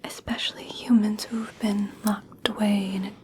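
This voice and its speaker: A woman playing a gentle, caring young elf princess in a soothing, breathy ASMR roleplay, speaking deliberately with long pauses. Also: mid-range voice, little pitch movement, slight background noise, natural voice. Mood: gently explaining. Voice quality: breathy whisper. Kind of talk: ASMR personal attention roleplay.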